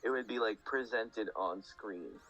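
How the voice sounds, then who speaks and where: A man with a fairly low voice talks as a guest in a relaxed podcast conversation. He explains things eagerly and loosely, peppering his speech with "like". Faint music plays behind him. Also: a little slow, highs cut off. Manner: rambling, enthusiastic explaining with frequent "like". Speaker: guest speaker. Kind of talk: casual podcast chat.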